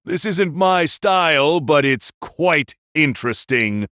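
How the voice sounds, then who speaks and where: A man voicing a brash, intense young anime fighter in an audio drama, with a strained, raspy voice and drawn-out dramatic delivery. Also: on the high side, lively intonation, sharp tone, no echo, clean studio sound, exaggerated voice. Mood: taunting.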